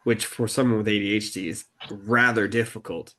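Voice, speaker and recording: A man with a fairly low voice talks through a home podcast conversation. He deliberately slows his speech, pausing on fillers and restarting sentences as he picks his words. His tone stays relaxed and conversational. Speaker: podcast co-host. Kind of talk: casual conversational podcast talk.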